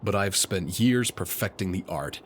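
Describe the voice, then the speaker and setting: A man playing a suave, teasing, flirtatious military officer and alchemist in an intimate roleplay audio, speaking smoothly. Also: sits low, lively intonation, a little hiss or hum. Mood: teasing, admiring. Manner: smooth, unhurried, playfully teasing.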